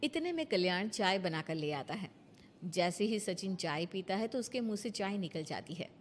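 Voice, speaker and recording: Female narrator for scripted stories, medium pitch, slightly noisy recording. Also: animated, normal speed. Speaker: storyteller narrator.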